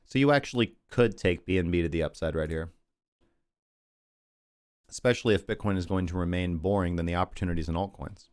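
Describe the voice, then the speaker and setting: A man's voice: low-pitched, brisk, pauses often, smooth, dry recording, studio quality. A man hosting a crypto livestream, chatty and rambling as he talks through charts and thanks viewers, losing his voice. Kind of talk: casual market analysis and sign-off.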